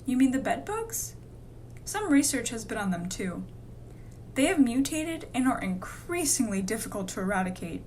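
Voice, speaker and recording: Woman playing determined female doctor, radio play, higher-pitched voice, grave and authoritative, faint noise behind. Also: big pitch swings, normal speed, a little mellow. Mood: grave, explaining. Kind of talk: amateur radio drama dialogue.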